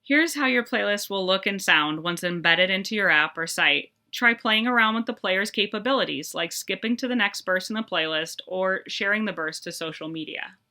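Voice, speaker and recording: A woman presenting a scripted tutorial in a clear, upbeat, instructional manner. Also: mid-range voice, animated, normal speed, few pauses, pushed and bright.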